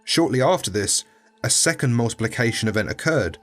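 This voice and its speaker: A man's voice: medium pitch, animated, a little fast, heavy background noise. A man narrating a scripted clinical incident report in a formal, detached way.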